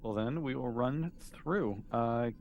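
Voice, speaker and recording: A man's voice: mid-range voice, slow pace, muffled recording. A man acting as game master, casually narrating a tabletop game and calling for rolls.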